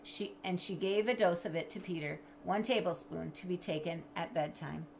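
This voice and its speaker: A middle-aged woman reading a children's storybook aloud in an expressive, measured way, as if to young listeners. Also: on the low side, vocal fry, slight background noise, poor audio. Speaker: storybook reader. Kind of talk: children's read-aloud with chatty asides. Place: indoors in a home room with bookshelves.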